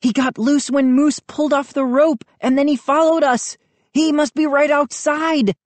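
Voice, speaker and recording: A woman's voice: fairly high pitch, unhurried, mellow, no room sound, pitched above the performer's own voice. A woman voicing an earnest, frightened child who pleads urgently in a children's story. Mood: excited hope.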